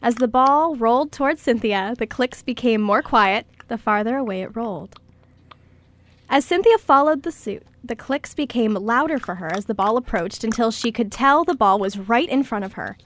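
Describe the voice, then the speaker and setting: A woman's voice: on the high side, lively intonation, moderate pace. A woman reading scripted story narration as the narrator of a radio play.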